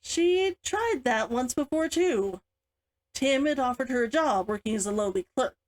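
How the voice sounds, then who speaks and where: A woman reading her own book aloud as an author, in an expressive audiobook style. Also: sits high, unhurried.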